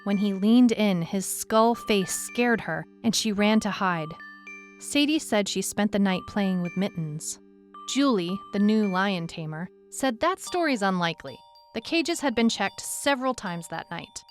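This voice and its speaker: A woman playing a tough, weary, skeptical detective who narrates her case in a measured, dramatic, reflective way. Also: mid-range voice, expressive, lightly acted. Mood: grave, suspenseful. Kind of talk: scripted first-person story narration. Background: music bed under the voice.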